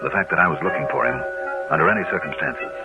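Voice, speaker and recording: A man's voice: very deep voice, fast. An actor playing a shrewd American insurance investigator who narrates his case in clipped hard-boiled style, in an old radio drama. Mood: brooding narration. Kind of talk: 1950s radio detective drama acting and narration. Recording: muffled old radio recording, loud music.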